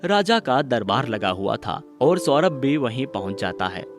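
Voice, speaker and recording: A man's voice: medium pitch, sing-song, a little slow. A man narrating a scripted moral story for children in a storytelling style. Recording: music behind the voice. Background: background music.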